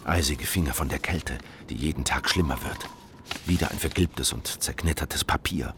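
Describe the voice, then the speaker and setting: An actor playing a haunted revolutionary in a historical radio drama, narrating in a grave, terse, introspective way. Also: male voice, extremely low pitch, normal speed. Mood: brooding, bitter. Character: brooding, haunted male revolutionary in hiding, 16th-century Germany. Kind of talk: literary first-person radio drama narration. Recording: clear ambient noise behind.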